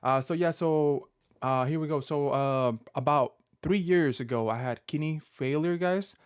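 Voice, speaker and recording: A man in his thirties giving a personal vlog update to his viewers, talking in a halting way with many fillers and restarts. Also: a little high, smooth, no room sound, studio quality. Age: 30s. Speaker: Pokemon content creator.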